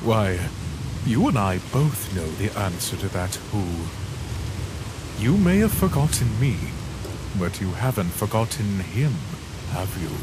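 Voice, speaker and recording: A man's voice: on the low side, sing-song, measured pace, background noise. A man voicing a sinister, mocking phantom in a comic dub, taunting and theatrical. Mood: quietly menacing. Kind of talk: fan comic dub acting.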